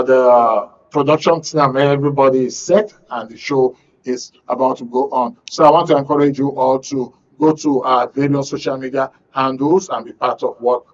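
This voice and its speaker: A man with a Nigerian accent hosting a current affairs programme in a studio, speaking in a measured, formal, wordy way. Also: mid-range voice. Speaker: radio and TV host. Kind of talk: current affairs show introduction. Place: radio and TV studio. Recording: dull, band-limited broadcast audio.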